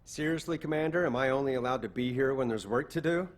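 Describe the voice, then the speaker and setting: An actor playing a reluctant but obliging chef in a video game, casual, expressive and given to griping. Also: male voice, mid-range voice, fast, lightly acted. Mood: cheeky.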